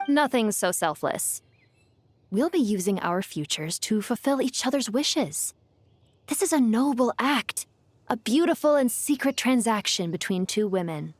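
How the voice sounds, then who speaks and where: A woman's voice: a little high, lively intonation, measured pace, a little hiss or hum. An actress playing an ancient regal female djinn in a video game, speaking with poetic, formal grandeur and wistful devotion. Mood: serene devotion. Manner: poetic, formal, theatrical delivery.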